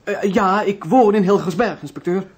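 An actor playing a flustered, anxious and deferential son of a notary in a Dutch radio drama, stammering and repeating himself. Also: male voice, high voice, normal speed, husky. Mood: nervous, apologetic. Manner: stammering, repetitive, nervous.

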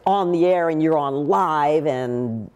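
An older actress telling stories in a seated interview, with a deep, big voice and lively gestures. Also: female voice, takes it slowly, smooth, long reverb tail. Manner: expressive, gestures a lot while talking. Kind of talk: animated interview storytelling. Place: living room with a pool table, indoors.

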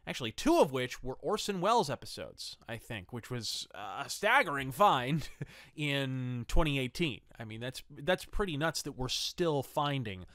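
A man's voice: mid-range voice, big pitch swings, measured pace, fairly bright tone, dry recording, studio quality. A man hosting a review podcast, enthusiastic and semi-scripted, mixing ornate, wordy phrasing with blunt asides.

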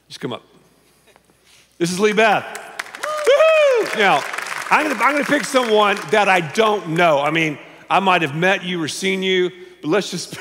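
An older man preaching a sermon on a large church stage, animated and playful, often dropping to a low confiding tone. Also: noisy background. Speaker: pastor. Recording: long reverb of a big hall.

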